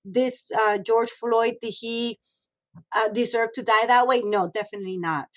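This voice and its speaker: A woman's voice: fairly high pitch, fairly even intonation, moderate pace. Chatty woman raised abroad, frank talk. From Mexico. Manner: chatty, frank, many fillers and restarts. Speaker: guest, radio host and model. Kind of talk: casual interview talk.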